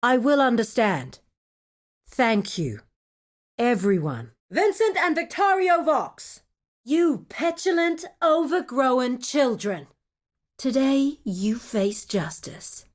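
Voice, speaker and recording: A crime boss's right-hand lady in a video game, formal n businesslike, composed, pitch moves around a lot. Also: lively intonation, slow pace. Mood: focused, businesslike, then vengeful. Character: composed, dutiful female lieutenant of a crime boss. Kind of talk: video game character voice acting.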